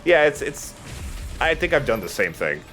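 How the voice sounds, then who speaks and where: A man's voice: mid-range voice, big pitch swings, moderate pace, fairly bright tone. A man giving casual, sweary commentary while he plays a video game. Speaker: let's play host playing the game. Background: game music.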